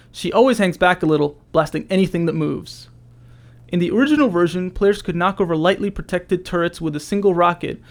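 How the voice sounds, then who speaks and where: A man narrates scripted developer commentary in a fairly high voice for a man. There is a strong creak and fry running through it as he reads. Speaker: game developer.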